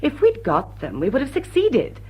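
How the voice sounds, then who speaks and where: Poised lady thief, lively and husky. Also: sits high, normal speed, full character voice. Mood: mock-solemn. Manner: arch, mock-innocent, polished. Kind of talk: period drawing-room radio drama acting.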